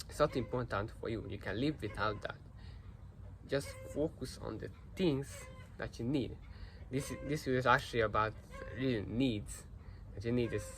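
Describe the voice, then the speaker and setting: A man's voice: medium pitch, fairly bright tone. A teenage boy with Hungarian as his first language, talking earnestly to camera in a rambling vlog, full of fillers and restarts, recorded without a proper microphone. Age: teens. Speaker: vlogger. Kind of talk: casual self-reflective talk to camera. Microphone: no microphone, built-in device mic. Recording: noisy built-in mic recording.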